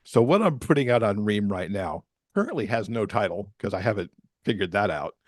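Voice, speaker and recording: A low-pitched American man talks casually as a podcast guest. He explains things in a chatty, conversational way, and the sound is very dry, with no room on the voice. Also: expressive, a little fast, no echo. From Michigan, USA. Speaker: podcast guest, romance author.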